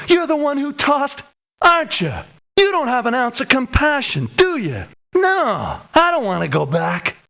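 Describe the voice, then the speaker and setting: A woman's voice: a little high, a bit bright. An actress voicing an anxious, earnest young mercenary woman in a video game, with an emotional, hesitant delivery. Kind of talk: video game character voice lines.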